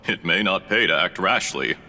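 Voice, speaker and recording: A man voicing a scheming male scholar in a game cutscene, oily and flattering, speaking with mocking chuckles. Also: deep voice, moderate pace, a little hiss or hum, heavily acted.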